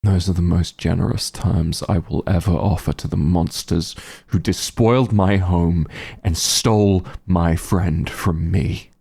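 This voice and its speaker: A man playing a bitter, grieving werewolf hunter in an audio roleplay, gravelly and husky, speaking with halting, menacing intensity. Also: deep voice, steady tone, dark tone, exaggerated voice. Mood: cold, menacing. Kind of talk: dramatic audio roleplay monologue.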